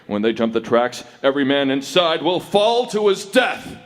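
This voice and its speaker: A man's voice: mid-range voice, sing-song, moderate pace, close to an everyday voice. Guy doing a brave cowboy hero, dramatic old radio acting, voice swings up and down. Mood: grave, urgent. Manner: dramatic, declamatory. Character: urgent, heroic masked cowboy lawman.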